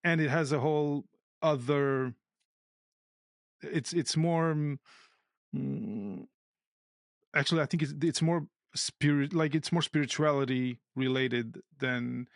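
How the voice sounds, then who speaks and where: A man's voice: fairly high pitch, takes it slowly, creaky, long pauses, dry recording, studio quality. A man from Portugal, speaking natively, talking as a podcast guest in a thoughtful way, often searching aloud for the right words. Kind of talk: casual conversation.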